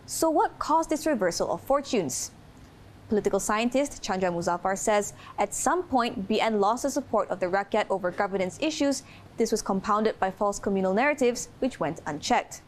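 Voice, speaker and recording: A woman's voice: mid-range voice, lively intonation, normal speed, a little hiss or hum. A woman narrating a scripted news report as a reporter.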